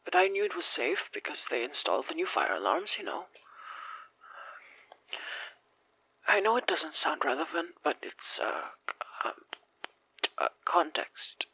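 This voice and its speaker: Hoarse, shaken fire survivor. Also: female voice, low-pitched, huge pitch swings, sharp tone, no echo, full character voice, poor audio. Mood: hesitant, weary. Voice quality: hoarse, breathy. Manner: halting, apologetic, reluctant. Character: shaken, hesitant fire survivor recounting something strange. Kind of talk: audio horror drama acting.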